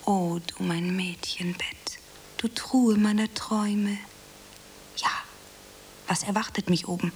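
An actress playing an eager, earnest eighteen-year-old drama beginner, shy and breathy with a theatrical streak, in radio drama style. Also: female voice, mid-range voice, steady tone, slow, long pauses, rough recording. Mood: melodramatic, brooding. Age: young adult. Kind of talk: German radio drama acting. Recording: faint tape hiss.

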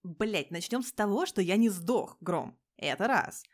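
A woman acts out a prickly male police major from an audio story. He talks loudly and emphatically, with indignation and coarse street slang. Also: medium pitch, animated, unhurried. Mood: indignant. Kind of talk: audio story character dialogue.

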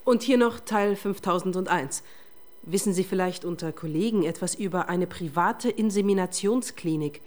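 A woman's voice: fairly low pitch, moderate pace, darkish tone, close to an everyday voice. An actress playing a curt, exasperated female police detective who bosses her colleague in a German radio crime drama. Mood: businesslike. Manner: curt, bossy.

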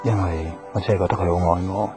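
Radio drama role: male actor as patient, wistful young Hong Kong Cantonese suitor, deep voice, music underneath. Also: steady tone, fast, natural voice. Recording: music behind the voice.

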